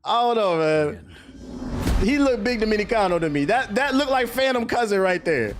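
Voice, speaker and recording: An American man reacts casually on a live stream. His voice is animated and swings widely in pitch, and he talks in loose, sweary slang. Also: moderate pace, slight background noise. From US East Coast. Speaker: streamer reacting to game trailers.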